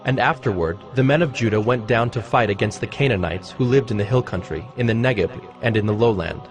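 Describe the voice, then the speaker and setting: A man narrating scripture from a script in a measured, formal manner. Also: on the low side. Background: music.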